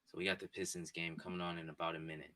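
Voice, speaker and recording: A man in his twenties chatting casually with his live-stream viewers from a home setup, excitable and repetitive. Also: low-pitched, racing. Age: 20s. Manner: excitable, repetitive, talks straight to chat. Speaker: sports streamer. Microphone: desk mic and headphones.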